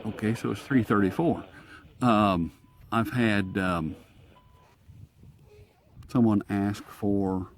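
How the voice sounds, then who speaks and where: A man with a fairly low voice talks through a hands-on demonstration. He is folksy and informal, thinking aloud as he goes. Some faint noise sits behind him. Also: steady tone, takes it slowly, long pauses. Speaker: radio reviewer and host. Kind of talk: casual hands-on gadget demonstration. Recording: clear static and radio noise behind.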